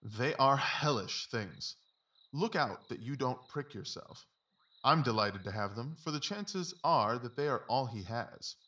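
An actor playing a brisk, keen, self-assured male detective in a dramatised audiobook, with clipped, commanding speech. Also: medium pitch, expressive, bright, forceful tone. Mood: brisk, absorbed.

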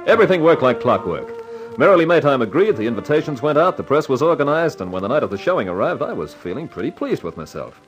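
A man's voice: mid-range voice, sing-song, fast, over music, lightly acted. An actor playing a wry, flippant advertising man who narrates a 1950s radio crime drama. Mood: wry, pleased. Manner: wry, flip, hardboiled.

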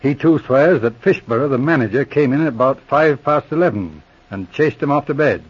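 Self-assured Mountie sergeant, muffled old radio. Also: male voice, medium pitch, lively intonation, normal speed, slightly rough. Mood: measured, probing. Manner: probing, commanding, dryly teasing. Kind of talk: 1940s radio drama acting. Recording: muffled vintage radio recording.

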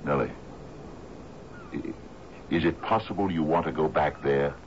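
An actor playing a troubled, grieving sea captain in a 1970s radio drama, speaking formally and haltingly. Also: male voice, extremely low pitch. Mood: tender, solemn. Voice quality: gravelly. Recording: muffled, noisy old radio broadcast.

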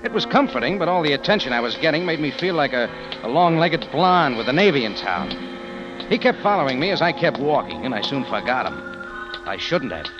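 Hard-boiled American male gumshoe, played by a radio actor: sardonic, slangy, highly varied pitch, dull vintage radio sound. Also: big pitch swings, a little fast, close to an everyday voice. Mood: narrating, dry. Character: tough, wisecracking American private-eye hero. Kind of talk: 1940s radio detective acting with first-person narration. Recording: muffled old radio drama, music behind.

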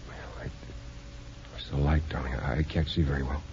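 An American actor playing an earnest, troubled, middle-aged divorced father in 1950s radio drama, speaking tenderly and haltingly. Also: rumbling low, level tone, darkish tone, a little hiss or hum. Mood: tender, subdued. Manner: hesitant, halting. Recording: dull 1950s radio recording with music.

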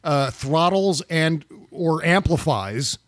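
A man's voice: fairly high pitch, animated, slow, dry recording, clean studio sound. A middle-aged man hosting a podcast, talking casually about politics with frequent fillers and swearing. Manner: conversational, profane, many fillers. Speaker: podcast host.

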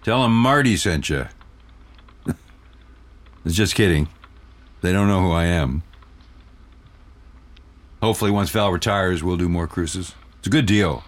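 An actor playing a mild, affable older husband in an audio comedy, laconic and low-key. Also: male voice, unhurried, pauses often. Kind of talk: naturalistic audio comedy acting.

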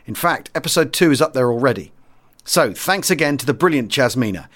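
A man's voice: medium pitch, expressive, brisk, fairly bright tone. A man reading a scripted podcast introduction as its host.

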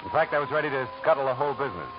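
An actor playing a dry, wisecracking private detective who also narrates, in a 1940s radio drama. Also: male voice, medium pitch, animated, rapid-fire, highs cut off, over music, close to an everyday voice. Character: hard-boiled male private eye, dry and wisecracking. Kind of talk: 1940s radio acting with first-person narration. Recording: hissy old radio broadcast recording.

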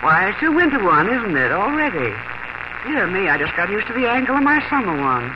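A woman's voice: medium pitch, very animated, a little fast, background noise, lightly acted. An actress playing a sentimental, chatty middle-aged mother who is cleaning out her attic, in a 1950 radio drama. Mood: fond. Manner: chatty and sentimental, talking to herself. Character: fond, fussy small-town mother cleaning the attic. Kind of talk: 1950s radio drama acting. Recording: dull lo-fi 1950 radio broadcast.

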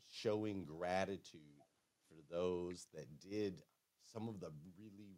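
A man's voice: on the low side, warm tone. A man hosting a live-stream talk show, upbeat and enthusiastic, often tagging on "man" and "right?". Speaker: show host.

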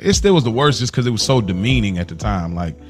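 A man's voice: on the low side, quick pace, soft background music. An American man chatting casually as a podcast co-host, telling stories in slangy speech and acting out what people said. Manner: slangy, animated retelling with acted-out quotes.

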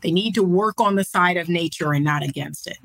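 A woman who is a counselor, giving measured and encouraging advice in a podcast conversation. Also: fairly low pitch, creaky, a bit bright, no echo. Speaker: guest counselor.

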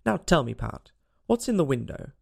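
Storybook rabbit, performed by a man: irate little master, imperious and scolding, animated swings, gravelly edge. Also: a little high, moderate pace, full character voice. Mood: angry, bossy. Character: angry, bossy rabbit master ordering servants about.

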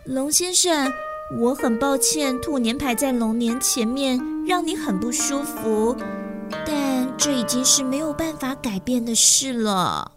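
Lady doing a tiny earnest bunny girl for kids story, high voice, music loud behind her. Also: measured pace. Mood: apologetic. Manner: sweet, polite, earnest. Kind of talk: children's audio story acting.

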